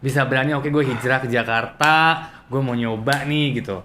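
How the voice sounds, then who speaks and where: A man's voice: medium pitch, steady tone, moderate pace, bright, forceful tone. A man hosting a casual interview, asking questions informally and mixing English into his talk. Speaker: interview host. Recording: background ambient noise.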